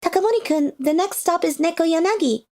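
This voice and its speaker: Woman voicing a high, caring schoolgirl. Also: a little fast. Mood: gentle caring. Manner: warm, caring, chatty. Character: kind, gentle high school girl. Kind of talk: manga dub voice acting and narration. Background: faint music.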